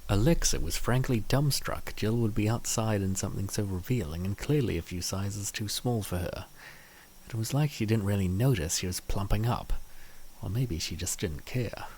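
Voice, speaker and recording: A man's voice: lowish voice, moderate pace, darkish tone, a little hiss or hum, poor audio. A man reading scripted story narration as the narrator of a comic dub.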